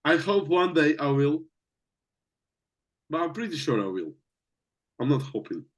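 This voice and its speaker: A man's voice: a little high, big pitch swings, measured pace. A young man from Latvia with a Latvian accent, a native Latvian and Russian speaker, talking casually and searching for his words. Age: young adult. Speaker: business coach. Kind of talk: casual conversation.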